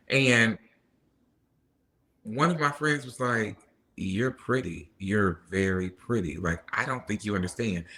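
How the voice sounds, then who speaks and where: Bright-voiced man telling animated stories. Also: on the low side. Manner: animated storyteller, acts out quoted people. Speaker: podcast guest. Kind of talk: casual chat.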